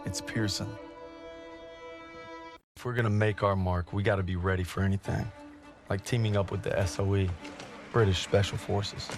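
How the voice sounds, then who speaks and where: An actor playing an earnest, haunted young American soldier from the country, narrating with a gravelly voice in a war game. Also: male voice, fairly low pitch, flat delivery, normal speed, long pauses, faint music behind, natural voice. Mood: determined.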